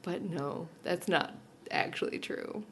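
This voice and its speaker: Woman podcaster, kinda low voice, nerdy and sweary, just chatting. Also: takes it slowly, a bit bright, slight background noise. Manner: sweary, self-mocking theater nerd. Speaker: podcast co-host. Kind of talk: casual chat recapping a TV show.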